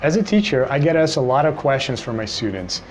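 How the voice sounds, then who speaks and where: A man in his forties from Brazil with a Brazilian Portuguese accent, an English teacher telling his own story to camera in a relaxed way, with many "you know"s. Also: medium pitch, fairly quick, noisy background. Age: 40s.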